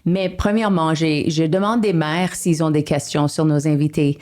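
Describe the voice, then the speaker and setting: A woman's voice: low-pitched, steady tone, moderate pace, a little mellow. A middle-aged woman from Ottawa hosting a podcast in casual chat, dropping English phrases into her French. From Ottawa, Canada. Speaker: podcast host.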